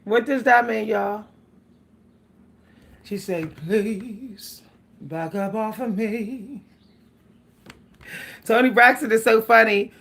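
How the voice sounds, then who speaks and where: A woman chats loosely with her livestream audience and calls them "y'all." Her voice sits a little high. She talks in a slow, drawn-out way and keeps drifting into snatches of song. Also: gravelly, fairly bright tone. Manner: chatty, laughs often, breaks into song, addresses viewers as y'all. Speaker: livestream host. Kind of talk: casual livestream chat with singing.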